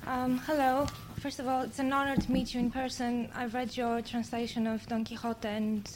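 A native Greek woman with a Greek accent, asking an earnest, polite, halting question from the audience at a public event. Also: on the high side, flat delivery. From Greece. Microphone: handheld audience microphone. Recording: faint background noise, live event.

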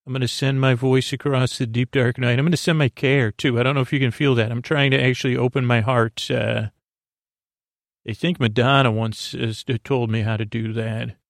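Creaky, rambling sleep podcast man. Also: mid-range voice, fairly even intonation, no echo, studio quality. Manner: meandering, halting, many fillers and restarts. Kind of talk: rambling bedtime podcast intro.

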